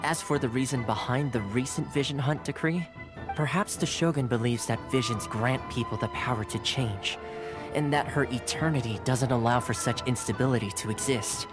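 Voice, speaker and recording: A man's voice: medium pitch, moderate pace, natural voice. Game character: courteous young male retainer, played by a man, formal and articulate, composed with a playful streak, music underneath. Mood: solemn, explanatory. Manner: polite, formal, articulate phrasing. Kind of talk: scripted game cutscene dialogue. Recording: music behind the dialogue.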